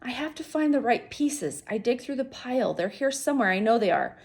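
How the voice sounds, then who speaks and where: A woman voicing an anxious, earnest male gorilla in short, deliberate phrases, as in a storybook. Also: a little high, lively intonation, a little mellow, slightly rough. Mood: frantic.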